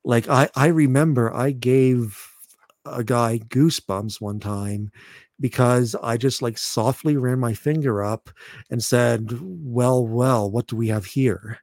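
A man in his thirties chatting casually as a podcast host, halting and often repeating words as he goes. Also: darkish tone, no echo. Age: 30s. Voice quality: deep voice. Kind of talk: casual chat.

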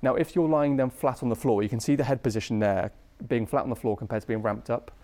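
A man's voice: medium pitch, steady tone, fast talker, warm tone. A British man teaching medicine in an informal lecture, speaking conversationally with colloquial asides and tag questions. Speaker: medical lecturer, anaesthesia registrar.

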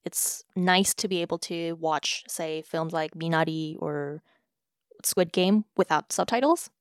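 A woman's voice: sits low, clean tone, no room sound, studio quality. A young woman from South Africa, a podcast host answering questions in a casual, reflective chat. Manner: measured, reflective, with soft fillers. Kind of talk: casual conversation between sisters.

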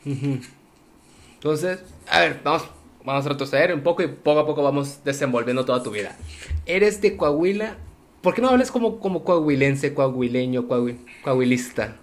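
A man's voice: fairly high pitch, animated, moderate pace. A man with a Mexican accent hosting a casual interview, laid-back and joking, with plenty of slang. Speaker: host.

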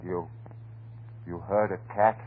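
An actor playing a nervous, evasive young householder in an old-time radio drama, speaking in anxious, clipped, repeated phrases. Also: male voice, deep voice, fairly even intonation, slow. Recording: noisy, narrow-band vintage radio recording.

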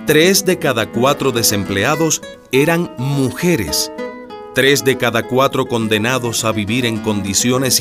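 A man delivering measured, solemn scripted narration for a radio play in a declamatory way. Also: medium pitch.

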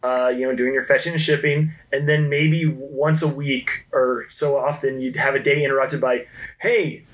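A man's voice: medium pitch, level tone, moderate pace, fairly bright tone, low-quality recording. A man sharing anecdotes in loose, vivid podcast chat, slipping into quoted asides. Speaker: podcast participant. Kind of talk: casual conversational anecdotes.

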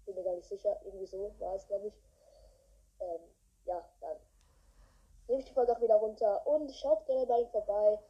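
A woman's voice: mid-range voice, moderate pace, long pauses, husky. A woman hosting a podcast in a casual, rambling way with many fillers. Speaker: podcast host. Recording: dull lo-fi recording.

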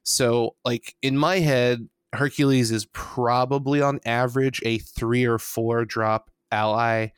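A man co-hosting a casual card-game podcast, animated and quick to laugh, working through numbers out loud. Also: mid-range voice, clean tone, no echo, studio quality. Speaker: podcast co-host.

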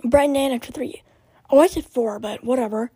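A young woman with a high, raspy voice hosts a casual podcast. She talks in a loose, scattered way, often slipping into whispered asides. The sound is dry and close. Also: moderate pace, dark tone, dry recording. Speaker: podcast host. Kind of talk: casual rambling chat.

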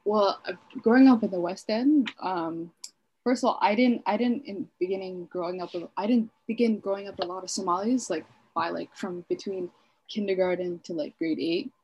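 A woman's voice: medium pitch, normal speed, darkish tone, lo-fi sound, a little hiss or hum. A woman in her twenties from Kenya, co-hosting a podcast in casual chat, talking freely with many fillers and frequent laughs. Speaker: podcast co-host.

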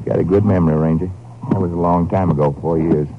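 A man acts out a glib American rancher with a prison past, folksy and drawling. His voice is lowish, heard through the hiss and muffled tone of an old radio drama. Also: steady tone, moderate pace, lightly acted. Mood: defensive. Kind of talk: 1950s radio drama acting. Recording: noisy, muffled 1950s radio recording.

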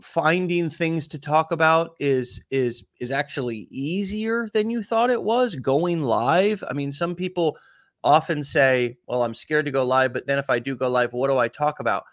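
A man's voice: on the high side, unhurried, no room sound. A man hosting a coaching interview, encouraging and asking long, rambling questions. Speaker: host.

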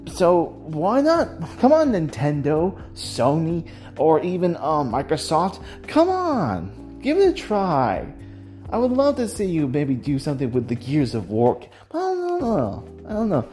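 A podcast host talking casually about opinions, halting and thinking out loud, often trailing off and self-correcting. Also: medium pitch, huge pitch swings. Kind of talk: casual rambling opinion talk about games and audio drama. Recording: music playing behind the voice. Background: music.

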